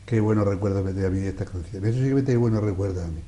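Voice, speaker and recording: Joking male TV host, lowish voice. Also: rapid-fire, dark tone, soft background music. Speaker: TV show host. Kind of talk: casual studio chat. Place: indoor TV studio set.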